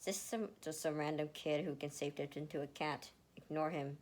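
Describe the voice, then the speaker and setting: A woman's voice: low-pitched, fairly even intonation, moderate pace, darkish tone, close to an everyday voice. Woman reading as a dry, teasing big brother. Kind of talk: amateur fanfiction read-aloud. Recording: noticeable background noise.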